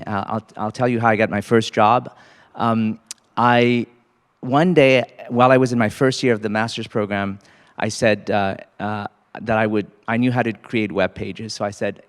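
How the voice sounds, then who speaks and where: A man in his forties from Cambodia, an associate dean giving a casual, halting talk to students with many fillers. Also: fairly low pitch, big-room sound. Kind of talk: faculty panel talk to students.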